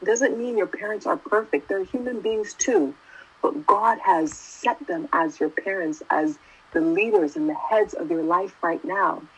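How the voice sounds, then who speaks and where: A woman's voice: medium pitch, a little slow, slightly rough, rough recording. A woman in her twenties giving a casual sermon, talking conversationally and often asking "right?". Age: 20s. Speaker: youth preacher.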